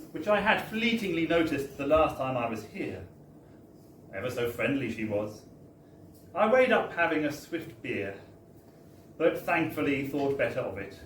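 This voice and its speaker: A man giving a theatrical, wry live reading of a comic first-person story, with emphatic tag phrases. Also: a little high. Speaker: reader of a story at a book launch. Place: bar, live event. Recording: roomy, distant live recording with background noise.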